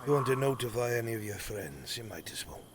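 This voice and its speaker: A man playing a formal, measured, self-assured gentleman recruiter in an improvised live roleplay. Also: mid-range voice, lively intonation, natural voice. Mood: curious. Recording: very noisy game voice chat.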